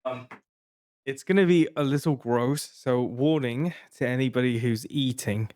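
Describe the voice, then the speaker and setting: A man's voice: mid-range voice, moderate pace, a little mellow, no echo, studio quality. A man in his thirties with a Taiwanese accent, co-hosting a podcast and telling a long casual story with many restarts and fillers. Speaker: podcast co-host.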